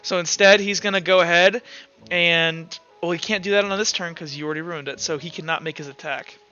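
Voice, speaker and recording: A man's voice: a little high, steady tone, fairly quick, sharp tone. A man running a tabletop roleplaying game, narrating off the cuff and casually thinking aloud. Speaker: game master. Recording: dull lo-fi voice track with music. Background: music.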